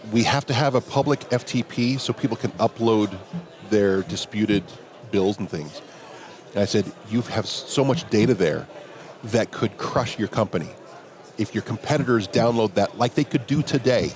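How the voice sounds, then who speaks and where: Man, big low voice, relaxed anecdotes, conference hallway. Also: normal speed. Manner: relaxed anecdotal telling, quoting others in dialogue. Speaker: interview guest, security professional. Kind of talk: casual storytelling in a conference hallway chat. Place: outdoors at a conference venue.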